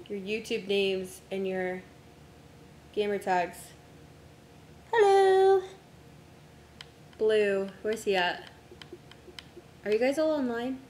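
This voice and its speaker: A young woman streamer chatting casually with friends while setting up a game, her voice going hoarse from a long day of talking. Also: medium pitch, echoey room, a little hiss or hum. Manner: distracted, talks to herself while navigating menus.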